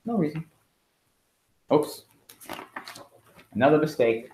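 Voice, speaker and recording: A middle-aged man, a school principal, reading a storybook aloud expressively and pausing with chatty asides and questions for children. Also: on the high side, very animated, dark tone, a little hiss or hum. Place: indoors at home. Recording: echoey room in a home.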